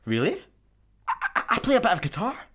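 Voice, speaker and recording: An actor playing an eager but unsure amateur guitarist on the run in a sci-fi comedy radio play. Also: male voice, sits high, wildly swooping pitch, fairly quick, fairly bright tone, heavily acted. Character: hesitant, eager, dim amateur guitarist space fugitive.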